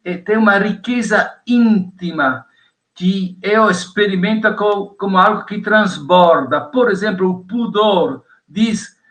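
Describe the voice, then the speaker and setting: Italian-accented prof guy on a podcast, walks through his points one by one, pretty high voice. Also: fairly bright tone. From Italy. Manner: measured, lists points one by one. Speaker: guest professor of theology. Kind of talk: lecture-like explanation on a podcast.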